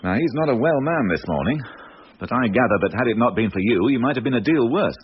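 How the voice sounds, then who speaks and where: An actor playing a stern but caring police inspector in a radio drama, with a clipped, questioning, authoritative manner. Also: male voice, medium pitch, expressive, quick pace, close to an everyday voice. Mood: probing, firm. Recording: dull lo-fi radio audio, faint noise.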